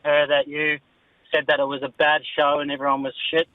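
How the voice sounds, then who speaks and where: Flat-toned man on a lo-fi voice message. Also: on the high side, fairly quick, no echo. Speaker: listener leaving a voice message. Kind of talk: casual chat, swearing. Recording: slightly dull lo-fi voice message.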